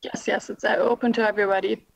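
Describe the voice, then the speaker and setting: A woman official answering questions at an online panel in a measured way, pausing often and filling gaps with soft filler sounds. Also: medium pitch, little pitch movement, creaky, slightly rough, dull audio. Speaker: policy official at a panel discussion. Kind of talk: formal briefing answer. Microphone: video call.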